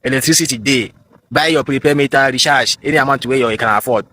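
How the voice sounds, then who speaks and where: A man's voice: mid-range voice, quick pace, sharp tone, a little hiss or hum. A Nigerian man whose first language is Igbo, vlogging outdoors on a smartphone in a rambling, defiant way. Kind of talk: phone vlog.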